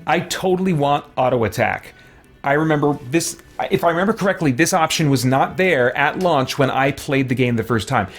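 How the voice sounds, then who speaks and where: A man's voice: on the high side, lively intonation, moderate pace, faint music behind. A middle-aged man giving casual, conversational let's play commentary as a gaming streamer, rambling and thinking aloud.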